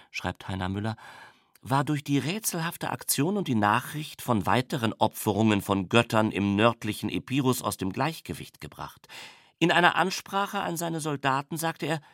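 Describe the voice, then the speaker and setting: A man's voice: lowish voice, animated, moderate pace, no room sound. Man giving a dry, formal literary reading.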